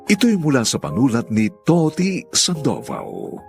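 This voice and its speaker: Creaky, deep, very dramatic male announcer. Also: huge pitch swings, unhurried, faint music behind. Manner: formal, dramatic. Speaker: announcer and host of radio drama. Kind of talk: radio serial narration.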